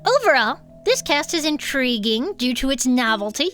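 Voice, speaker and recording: Woman, high voice, swooping expressive delivery, playful comedy podcast host, faint background music. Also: normal speed. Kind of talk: comic reading aloud of a report with chatty asides.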